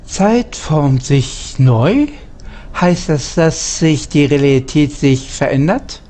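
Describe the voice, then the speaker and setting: A speaker delivering scripted dialogue as a voice-over in a measured, formal manner. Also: mid-range voice, warm tone, slight background noise.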